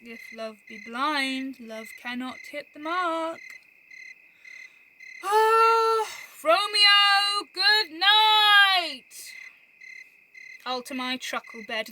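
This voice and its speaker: An actress playing a mocking, bawdy, theatrical young friend in an amateur Shakespeare audio drama, recorded at home on a phone. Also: female voice, high-pitched, background noise. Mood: dismissive.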